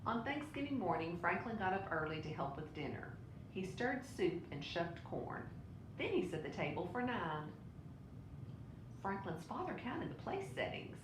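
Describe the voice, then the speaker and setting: A woman reading a children's picture book aloud in an expressive way. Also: normal speed. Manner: expressive, with brief asides to listeners. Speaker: storybook reader. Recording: roomy echo, clear low rumbling noise.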